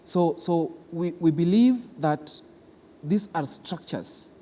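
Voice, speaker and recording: Mellow Kenyan man in a reverberant hall. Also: on the high side, takes it slowly. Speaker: cultural centre official being interviewed. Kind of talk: explanatory interview answers.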